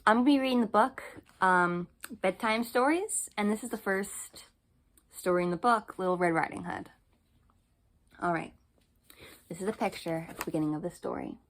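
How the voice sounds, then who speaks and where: A woman's voice: medium pitch, normal speed, pauses often. A woman narrating a children's bedtime story as she reads from a storybook. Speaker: storybook reader.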